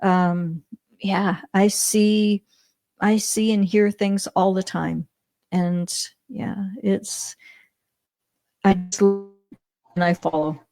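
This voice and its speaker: A middle-aged Canadian woman telling her story as an interview guest, animated, often laughing, with many restarts. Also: medium pitch, extremely slow, pauses often, no room sound. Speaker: podcast guest.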